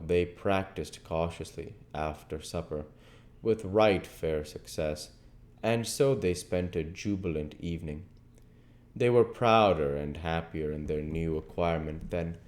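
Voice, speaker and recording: A man narrating a classic storybook as a reader, in measured phrases. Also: low voice, darkish tone. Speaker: audiobook reader and channel host.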